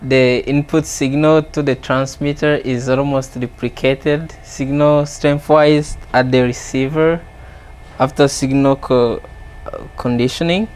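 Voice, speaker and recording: A young man explains technical work as a student presenter. He speaks slowly and deliberately at a medium pitch. The setting is an indoor lab. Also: a little mellow.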